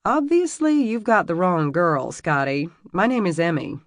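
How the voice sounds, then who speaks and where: A narrator voicing a flustered, sharp-tongued young woman doctor who bursts out in rapid, exasperated lines in an audiobook. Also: mid-range voice, animated, dry recording, studio quality. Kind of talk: audiobook character voice.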